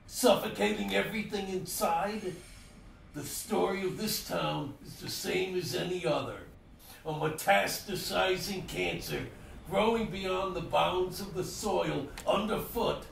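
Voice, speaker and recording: Bitter ancient haunted tower, radio play, high-pitched for a man, grim monologue, distant noisy recording. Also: vocal fry, exaggerated voice. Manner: grim, slow, ominous monologue. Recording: noisy, echoey, distant microphone.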